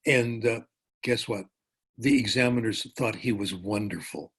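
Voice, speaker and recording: An older man giving a conference lecture, telling anecdotes in a relaxed way with asides and self-corrections. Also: on the low side, measured pace, breathy edge. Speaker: professor giving a conference talk. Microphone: remote talk over a conference call.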